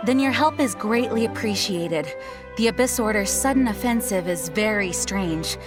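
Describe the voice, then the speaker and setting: An actress playing a composed, dutiful knight commander in a video game, formal, courteous and authoritative. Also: female voice, mid-range voice, animated, moderate pace, natural voice. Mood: earnest, concerned. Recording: game music behind.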